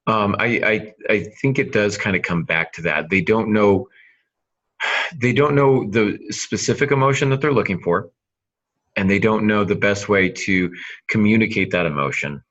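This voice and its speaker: Male podcast host, very low voice, explanatory and measured, slightly dull lo-fi sound. Conversational mentor delivery. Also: fairly bright tone. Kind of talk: conversational writing-advice podcast.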